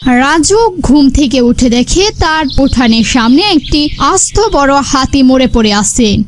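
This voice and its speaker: A woman's voice: high voice, lively intonation, takes it slowly, a little hiss or hum. A woman reading scripted narration for a cartoon story.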